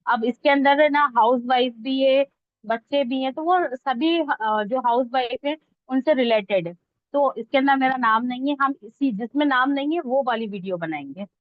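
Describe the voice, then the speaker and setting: Female online tutor, higher-pitched voice, informal and conversational step-by-step teaching, slightly muffled lo-fi recording. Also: normal speed, dry recording. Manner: conversational, checks that listeners follow. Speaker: instructor teaching reel editing online. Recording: dull lo-fi close dry audio.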